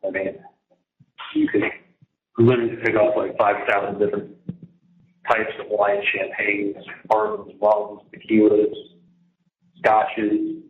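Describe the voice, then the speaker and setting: A man's voice: fairly low pitch, level tone, slow, pauses often. A man talking casually and at length on a jail phone call, emphatic and repetitive, often trailing off mid-sentence. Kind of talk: casual, rambling private phone conversation. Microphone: telephone handset. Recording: muffled, noisy, echoey jail phone line.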